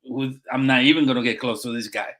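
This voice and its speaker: A man's voice: mid-range voice, fairly even intonation, fast, fairly bright tone. A middle-aged man with a Puerto Rican accent, a Marine veteran guest speaking earnestly in a conversational interview, with frequent fillers and restarts. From Puerto Rico.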